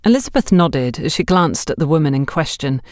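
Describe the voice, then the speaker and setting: A woman's voice: deep voice, expressive, fairly quick, a little mellow, no echo, clean studio sound. A woman reading a scripted mystery story aloud as an audiobook narrator.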